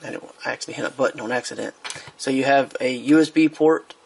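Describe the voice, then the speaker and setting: A man's voice: mid-range voice, fast, creaky, a little mellow, a little hiss or hum. A man giving a casual product review while he handles a speaker on a tabletop, hesitant, with many fillers and restarts.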